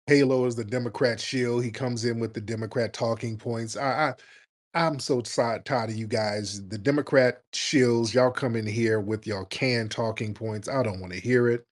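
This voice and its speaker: A man hosting a live call-in show, combative, mocking and animated. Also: medium pitch, normal speed. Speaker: host.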